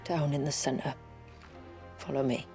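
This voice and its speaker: Solemn, low-voiced village elder. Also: female voice, steady tone, dark tone, breathy edge, soft background music, heavily acted. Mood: sorrowful, grave. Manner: measured, formal, grave. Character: solemn, dutiful female village elder, steadfast and sorrowful. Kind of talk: video game cutscene acting.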